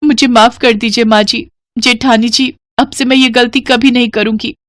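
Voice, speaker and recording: A woman voicing a young, thrifty daughter-in-law who speaks politely and respectfully, higher than her narrating voice. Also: fairly even intonation, moderate pace, a bit bright, no echo, studio quality, natural voice. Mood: apologetic.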